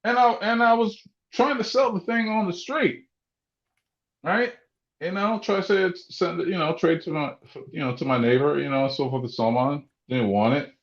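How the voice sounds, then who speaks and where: A man's voice: on the high side, normal speed. A man hosting a sports talk show, rambling and interrupting himself with many restarts. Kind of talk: casual sports radio banter. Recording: echoey room, dull muffled sound.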